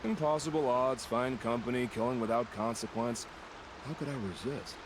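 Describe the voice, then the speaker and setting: An actor playing a serene, bloodthirsty killer in a video game, with poetic, savoring phrasing. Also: male voice, mid-range voice, normal speed, noisy background, natural voice. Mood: eager. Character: serene bloodthirsty male killer who relishes combat. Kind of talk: video game drama acting. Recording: clear outdoor game ambience behind voice.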